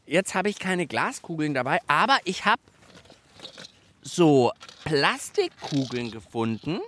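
Young man, children's radio host, playful, narrates what he does, high voice, very lively pitch. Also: slow pace, slight background noise. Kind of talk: lively hands-on radio chat.

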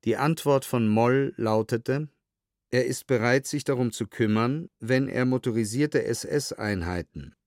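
A man reads from a script for a documentary audio edition. His delivery is measured and precisely articulated, at a medium pitch, in a very dry recording with no room sound. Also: darkish tone, no echo. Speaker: reader of historical documents.

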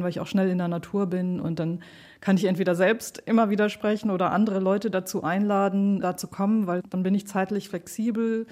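Woman, relaxed mellow tone, medium pitch, reflective, explaining, interview conversation. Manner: thoughtful, explanatory. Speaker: interviewed sound artist and radio play maker.

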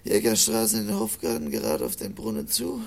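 A man playing a wounded young man in a radio drama, halting and weakened by pain. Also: high-pitched, huge pitch swings, creaky, heavily acted. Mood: weak, pained. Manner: halting, laboured short phrases. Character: wounded young man, Kaspar, faltering and pained. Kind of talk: German radio play acting.

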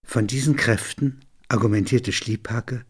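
A man's voice: medium pitch, measured pace, husky. A man narrating a scripted literary story as its reader. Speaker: narrator reading literary prose.

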